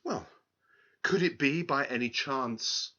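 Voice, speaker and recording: A man's voice: medium pitch, takes it slowly, sharp tone, lo-fi sound. A man voicing an anxious young queen in a storybook, hesitant and questioning. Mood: coy, hesitant. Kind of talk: storybook character voice.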